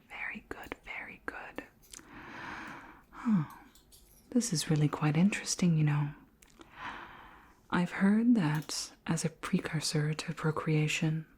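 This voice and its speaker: A woman playing a curious, flirty alien queen in an ASMR roleplay, speaking in a soft, breathy whisper with formal, gently clinical politeness. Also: sits low, full character voice. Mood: fascinated. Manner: slow, gentle, formal questioning.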